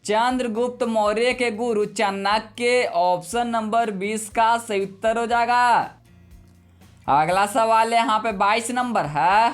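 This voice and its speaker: Slow, methodical quiz teacher over music. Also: medium pitch, a bit bright. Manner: methodical, repetitive question-and-answer delivery. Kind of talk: scripted exam quiz narration. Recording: music bed behind the voice. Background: background music.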